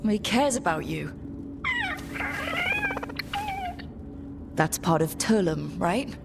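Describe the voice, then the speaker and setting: An actress playing a resolute, loyal adventurer in a fantasy video game, speaking in a direct, plain way. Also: female voice, fairly low pitch, animated, a little slow, vocal fry, over loud music. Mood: calm, probing.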